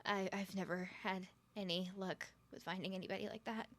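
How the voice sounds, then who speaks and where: A woman's voice: mid-range voice, steady tone, fairly quick, natural voice. Woman acting shy, stammering, smitten young woman. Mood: shy, earnest. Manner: heavy stutter, flustered rambling, nervous giggles. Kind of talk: ASMR roleplay acting.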